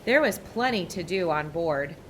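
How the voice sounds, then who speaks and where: A woman reading a children's picture book aloud with expressive narration. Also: fairly low pitch, normal speed, a little hiss or hum. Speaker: storybook reader.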